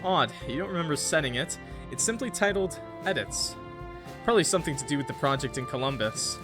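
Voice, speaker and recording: A man's voice: fairly high pitch, lively intonation, a little fast. A man streaming a visual novel, reading it aloud expressively with casual, jokey reactions. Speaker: gaming streamer. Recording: music behind the voice.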